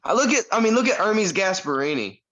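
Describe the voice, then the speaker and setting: A man in a casual chat who talks bluntly and excitably. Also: high-pitched, a little fast, dull audio.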